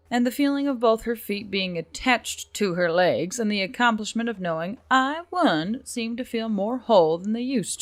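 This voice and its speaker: Woman reading a fantasy audiobook, medium pitch, dry close sound. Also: no echo. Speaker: audiobook narrator. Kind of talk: scripted fantasy fiction narration.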